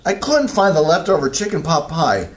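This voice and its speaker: A man's voice: on the high side, very animated, normal speed, reverberant. A man playing a grumpy, set-in-his-ways husband who grumbles and complains in a staged play reading. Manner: grumbling and complaining, with folksy oaths.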